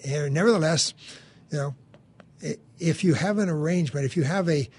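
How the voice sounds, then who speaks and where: A middle-aged male physician co-hosting a live call-in radio show, talking in a relaxed, conversational way with frequent restarts. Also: on the high side, brisk, breathy edge.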